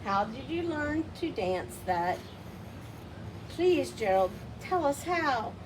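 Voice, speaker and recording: A woman voicing excitable, mocking storybook jungle animals with exaggerated, exclamatory delivery. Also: sits high, slow pace, pitched above the performer's own voice, heavily acted. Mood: amazed. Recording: distant mic, loud background noise.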